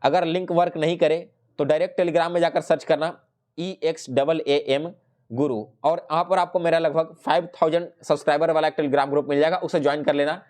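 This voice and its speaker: A man's voice: a little high, fairly even intonation, a little fast, darkish tone. A man giving an explanatory exam review as a teacher, addressing students directly and mixing in English terms. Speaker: exam-prep teacher and channel host.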